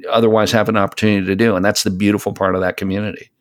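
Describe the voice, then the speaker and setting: A man in his late fifties with a fairly low voice talks casually on a podcast. He is reflective and conversational, often halting as he gathers his thought. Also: little pitch movement. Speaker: podcast co-host. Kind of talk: casual chat about gaming.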